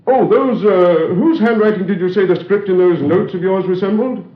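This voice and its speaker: An actor playing a firm, condescending older friend in a 1930s radio drama. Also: male voice, high voice, normal speed, close to an everyday voice. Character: brisk, patronizing older male friend. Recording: dull roomy 1930s radio recording.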